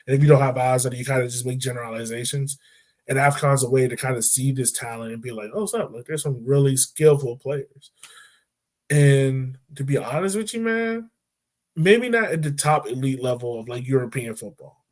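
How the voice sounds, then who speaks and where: A man co-hosting a podcast, talking football in a loose, animated way with lots of fillers and restarts. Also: medium pitch, moderate pace. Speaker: podcast co-host. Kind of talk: casual sports chat.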